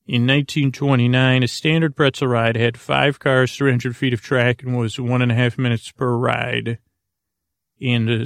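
Male bedtime podcaster, very gravelly and soothing, wandering monologue with long hesitations, recorded dry and close. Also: mid-range voice, dry recording. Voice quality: creaky. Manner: meandering, halting, full of pauses; lulling, soothing. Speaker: podcast host.

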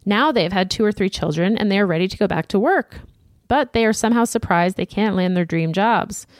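A woman co-hosting a podcast, reading listener emails aloud in a steady, plain voice. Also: medium pitch, a little fast, no echo, studio quality. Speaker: podcast co-host.

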